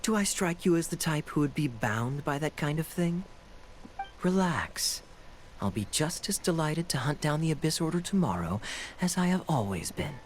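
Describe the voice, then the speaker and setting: A man playing a suave, teasing young knight in an anime game, with a smooth, playful, theatrical delivery. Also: a little high, big pitch swings, normal speed, slight background noise. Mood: breezy, teasing.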